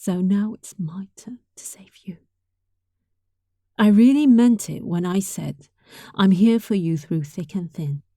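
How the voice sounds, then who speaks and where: A woman's voice: mid-range voice, unhurried, no room sound. A woman playing a sweet, affectionate young catgirl roommate in an intimate roleplay, speaking tenderly and breathily, often close to a whisper. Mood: tender, heartfelt. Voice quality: soft, breathy. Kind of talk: intimate roleplay audio, softly acted monologue.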